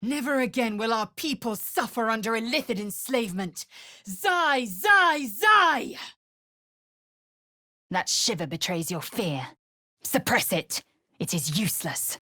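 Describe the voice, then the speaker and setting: Fantasy game role: fierce, scornful alien warrior woman. Measured, husky, clipped and imperious. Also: fairly high pitch, sing-song, bright, forceful tone, no echo, clean studio sound, full character voice. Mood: fierce battle casting. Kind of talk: scripted fantasy video game acting.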